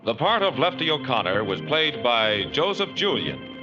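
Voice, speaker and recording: A man narrating and announcing an old radio drama in a solemn, measured way. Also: medium pitch, very animated. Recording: dull old radio broadcast recording with music.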